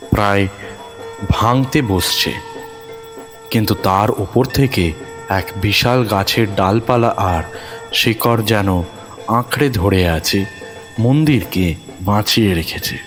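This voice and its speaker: A man narrates a scripted horror thriller in a fairly low voice. He takes his time, leaving deliberate, suspenseful pauses between short phrases, with faint music behind him. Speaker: audio story narrator.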